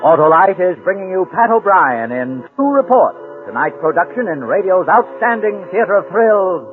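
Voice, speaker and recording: A man's voice: high-pitched, very animated, moderate pace. A man announcing in a declamatory, dramatic mid-century radio style. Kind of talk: 1940s-50s dramatic radio sponsor announcement. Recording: old tinny radio recording, loud music behind.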